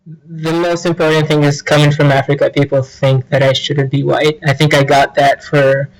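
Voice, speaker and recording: Flat-voiced young man. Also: on the high side, normal speed, rough recording. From Tunisia. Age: young adult. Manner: earnest, explanatory, with fillers. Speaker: international student. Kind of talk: documentary interview.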